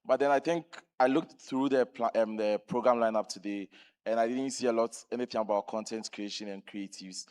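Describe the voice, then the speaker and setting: A man from Ghana speaks from the floor of a large conference hall with a handheld mic. His voice sits at an ordinary pitch, and he makes his point earnestly and keenly. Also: fairly even intonation, a little fast, a little mellow, smooth. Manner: earnest, enthusiastic. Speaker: audience member. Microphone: handheld microphone.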